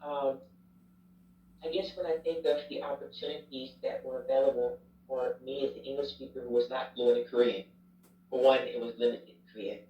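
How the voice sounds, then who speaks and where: A man's voice: medium pitch, fairly even intonation, fairly quick. A man, a chaplain and former youth pastor, candidly and reflectively telling his story in a casual interview. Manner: candid, reflective, self-deprecating. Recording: roomy, distant, dull sound.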